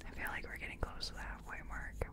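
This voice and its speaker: A woman whispering softly and gently in a breathy voice, reassuring the listener in a close-up ASMR personal attention roleplay as a makeup artist. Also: fast talker. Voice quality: soft, breathy, mostly whispered. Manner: gentle, reassuring, murmured repetitions.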